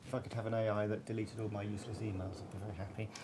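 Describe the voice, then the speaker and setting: A man's voice: on the low side, level tone, very fast, darkish tone. A man casually moderating a webinar as host, with an informal, lightly jokey manner. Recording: clear background noise.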